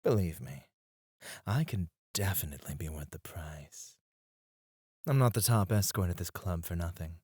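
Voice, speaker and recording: A man playing a suave, flirtatious club escort in an intimate ASMR roleplay, teasing and breathy, close to the mic. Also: sits low, lively intonation, darkish tone, dry recording. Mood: flirty, teasing. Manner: slow, teasing, seductive, often dropping to a breathy murmur. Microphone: close studio microphone, dry.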